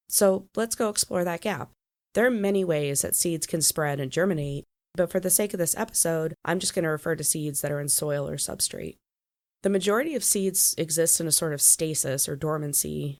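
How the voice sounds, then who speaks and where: A woman's voice: deep voice, gravelly. A woman hosting a solo podcast, talking through a stuffy head cold and explaining with small laughs. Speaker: podcast host.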